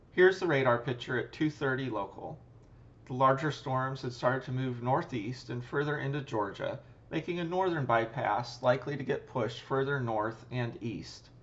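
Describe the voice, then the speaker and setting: A man narrating a scripted flight review as a pilot and host, in a measured, matter-of-fact, technical way. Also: mid-range voice, lo-fi sound.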